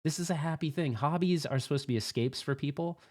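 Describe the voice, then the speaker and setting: A man's voice: on the high side, fast talker, darkish tone. A man hosting a podcast in casual, earnest, rambling chat with an upbeat manner. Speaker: host of a live pinball podcast. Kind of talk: casual chat, reacting to voicemails.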